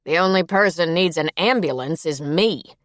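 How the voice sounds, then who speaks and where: A woman's voice: deep voice, normal speed, no room sound, natural voice. A woman voicing an irritable older woman in pain, dry, curt and complaining, in an audiobook. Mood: dry, dismissive. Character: irritable, pained older woman, weary and dismissive. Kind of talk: audiobook character dialogue.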